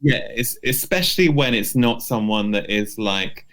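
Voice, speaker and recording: A man co-hosting a podcast, giving advice informally and haltingly, often saying "like". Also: medium pitch, expressive, fairly bright tone. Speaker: podcast co-host. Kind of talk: casual advice chat. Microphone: remote recording from separate location.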